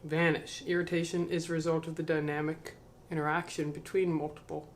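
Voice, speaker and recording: A man reading a spiritual book aloud to camera. He adds slow, musing commentary, questions himself, and trails off into mumbles. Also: on the high side, little pitch movement, a little hiss or hum.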